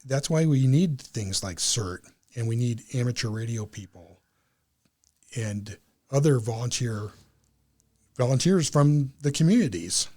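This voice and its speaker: A man's voice: medium pitch, expressive, unhurried, mellow. A man speaking as a club member and guest in a casual interview, explaining things earnestly.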